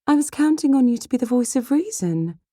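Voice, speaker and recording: A woman voicing a proper, gentle, easily shocked young gentlewoman in a period audiobook, prim and polite in manner. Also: mid-range voice, moderate pace, no echo, clean studio sound, voice pushed up, lightly acted.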